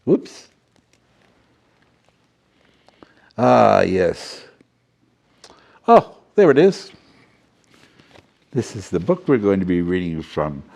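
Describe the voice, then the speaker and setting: Guy doing a jolly fussy professor reading to kids, slow and mellow, voice swooping all over. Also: sits low, very animated, gravelly, exaggerated voice. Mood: chatty, delighted. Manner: theatrical, fussy, chatty, tag questions, jumbled words. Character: doddering, jolly, theatrical male professor storyteller reading to children. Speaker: storyteller. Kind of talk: children's storybook reading with playful asides.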